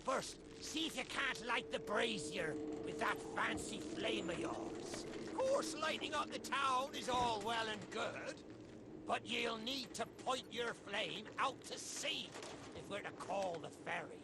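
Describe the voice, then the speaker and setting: A man playing a wry, crafty undead pirate captain in a video game, with a gravelly voice and a theatrical West Country pirate accent. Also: extremely high pitch, lively intonation, unhurried, very noisy. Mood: instructing, sly. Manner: theatrical old seafaring dialect, wry. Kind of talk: video game character acting. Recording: music behind the voice. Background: loud game music.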